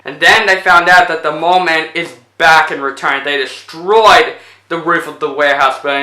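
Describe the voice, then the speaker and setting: Young male vlogger, bright and slightly high voice, rambling casual review, tiring voice, echoey room. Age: young adult. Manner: rambling, animated retelling with lots of fillers. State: voice getting tired. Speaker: movie reviewer. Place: indoors in front of a plain blue backdrop.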